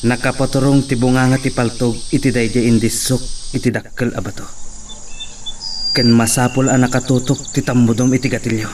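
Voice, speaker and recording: An actor playing a young gentleman who calmly teaches a woman to shoot a gun. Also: medium pitch, a little fast, distant mic, a little hiss or hum, close to an everyday voice. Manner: calm, instructive.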